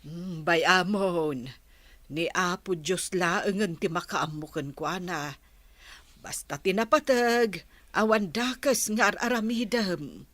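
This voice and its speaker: A woman playing a gentle, devout, soothing older mother in an audio drama. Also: lowish voice, takes it slowly, no room sound. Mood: concerned, gentle.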